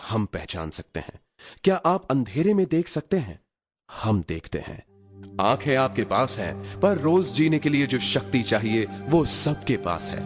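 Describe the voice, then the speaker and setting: A man's voice: mid-range voice, very animated, measured pace, faint music behind. A man reading a scripted public awareness message as an announcer.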